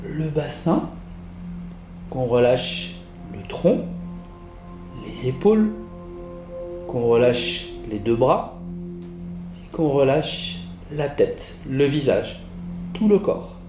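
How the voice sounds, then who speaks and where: A man leading a guided relaxation as a yoga teacher, giving soothing, measured instructions with pauses. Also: medium pitch, very animated. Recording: roomy echo with music behind. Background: relaxation music.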